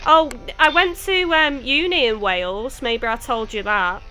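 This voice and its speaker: Streamer: young woman, bright and chatty, a touch high, with faint game music under her. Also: a little slow. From England, near Chester. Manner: chatty, laughs easily, answers chat. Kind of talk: casual chat with live chat viewers.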